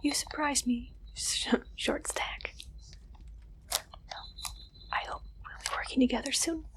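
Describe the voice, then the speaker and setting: A woman performing an ASMR roleplay as an interviewer, speaking directly to the listener in a soft, breathy, playful whisper. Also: sits high, fairly even intonation, slow.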